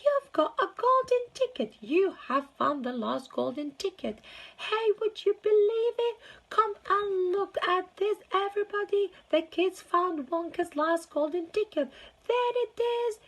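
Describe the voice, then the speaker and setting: A woman reading a storybook and voicing an excitable, friendly male shopkeeper who exclaims loudly. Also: extremely high pitch, a little slow, voice pushed up, heavily acted, rough recording. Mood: shrieking excitement. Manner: loud, exclamatory yelling when excited.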